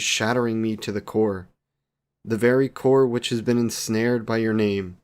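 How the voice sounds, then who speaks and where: A man's voice: lowish voice, darkish tone, lightly acted. A man playing a devoted, wry vampire boyfriend in a scripted romantic audio roleplay, speaking in ornate, formal phrases.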